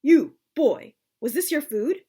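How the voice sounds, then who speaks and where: Woman reading as a musing old man, slow and deliberate. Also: sits high, expressive, dark tone, pitched above the performer's own voice. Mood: curious, commanding. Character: old man, absorbed, musing keeper of fortunes.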